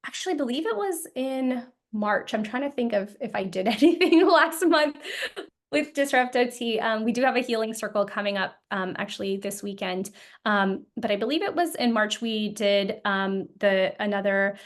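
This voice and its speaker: A woman guest in a casual interview, speaking thoughtfully with many pauses, ums and restarts. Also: a little high. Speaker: guest, founder of an occupational therapy group.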